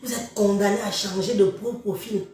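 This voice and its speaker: A woman's voice: mid-range voice, steady tone, normal speed, a little mellow, soft background music. A woman from Cameroon with a head cold, giving a scornful, preachy live-stream rant that addresses her listeners directly. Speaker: social media live streamer. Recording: very echoey room, far from mic.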